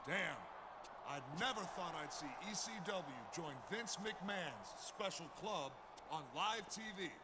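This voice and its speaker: A man's voice: high voice, lively intonation, a little slow, background noise. A man playing a cocky, defiant wrestler in a video game, dismissive and sardonic. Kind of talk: scripted game cutscene.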